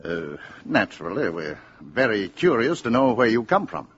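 An actor playing a polite, careful American presidential secretary with hesitant diplomatic manners in a 1950s radio drama. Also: male voice, fairly low pitch, big pitch swings, normal speed, fairly bright tone, slightly rough, exaggerated voice. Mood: courteous, careful. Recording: muffled 1950s radio broadcast.

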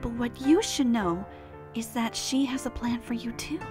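Protective cartoon mare captain, acted by a woman, high and earnest, solemn in her devotion, light music underneath. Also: normal speed, natural voice. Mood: earnest conviction. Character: devout, protective pony mare, captain of the guard. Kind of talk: animated fan drama acting.

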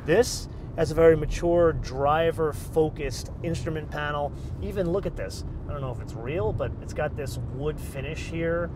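A middle-aged man hosting a car show, explaining features in a lively, animated way outdoors and behind the wheel. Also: on the high side, normal speed, hardly any pauses, background noise. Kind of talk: car review presentation.

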